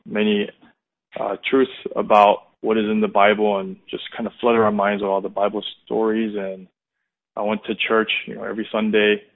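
A man in his thirties with an American accent and a fairly low voice, heard over a thin, phone-like line. He shares a personal testimony conversationally, pausing to reflect as he goes. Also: gravelly. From United States, California and Indiana. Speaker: interview guest giving testimony. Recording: muffled, narrow phone-like line.

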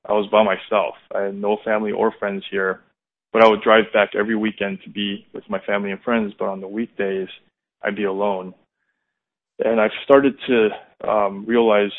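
An American man in his thirties telling his personal testimony as an interview guest, in a halting, reflective, conversational way. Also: lowish voice. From United States, California and Indiana. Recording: muffled, narrow phone-like line.

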